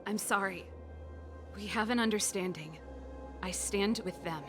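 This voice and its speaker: A woman's voice: mid-range voice, unhurried, lightly acted. A woman playing an earnest, principled rebel elder sister in a video game, with a resolute, pleading delivery. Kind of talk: dramatic video game voice acting. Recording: game music under the voice.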